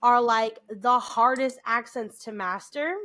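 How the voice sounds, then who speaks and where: An American woman co-hosting a podcast, chatting casually, animated and quick to restart her sentences. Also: fairly high pitch. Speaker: podcast co-host. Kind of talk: casual chat.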